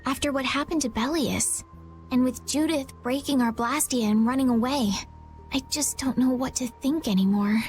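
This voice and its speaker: A woman playing a gentle, troubled young healer in a video game, speaking earnestly. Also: sits high, normal speed, lightly acted. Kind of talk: video game dub acting. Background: background music.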